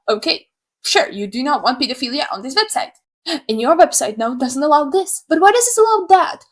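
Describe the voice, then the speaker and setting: Young woman from Slovakia, English, high voice, sarcastic, animated, swears casually. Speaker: YouTuber. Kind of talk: opinion rant to camera.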